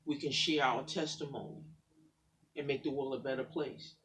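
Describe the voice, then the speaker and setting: A man, a pastor and poet, talking in an animated, preacherly way in a casual faith conversation. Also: medium pitch, normal speed, recorded from a distance.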